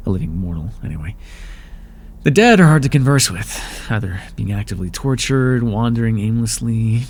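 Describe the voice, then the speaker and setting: A man voicing a proud, weary male god of the underworld in an ASMR roleplay, with a dry, aloof manner and a quiet curiosity. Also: fairly low pitch, lively intonation, normal speed. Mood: curious.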